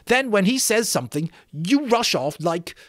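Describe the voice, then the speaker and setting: A shrill, high-pitched schoolboy outcast in an audiobook. He whines and protests indignantly, scolding the others, his pitch leaping as panic creeps in. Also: sing-song, normal speed, dry recording, studio quality. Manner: shrill, whining, protesting, gasping delivery. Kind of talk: dramatic audiobook reading of a novel.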